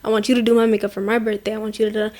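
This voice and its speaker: A woman's voice: on the high side, level tone, rapid-fire, a little mellow. A young woman from Portland, Maine, a makeup artist talking casually as an interview guest, with many fillers. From Portland, Maine, USA. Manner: chatty, many fillers, quotes others.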